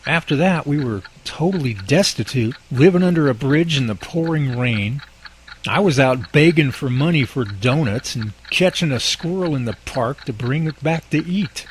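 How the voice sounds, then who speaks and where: A man's voice: mid-range voice, lively intonation, normal speed, slightly rough, poor audio. A man playing an anxious, earnest, hard-up husband in an amateur radio drama, speaking expressively. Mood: worried.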